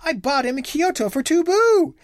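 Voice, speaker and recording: Woman playing a jealous young wife, very high, swooping, shrill, tearful. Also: animated, moderate pace, dry recording, studio quality. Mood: furious. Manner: shrill, sobbing and wailing.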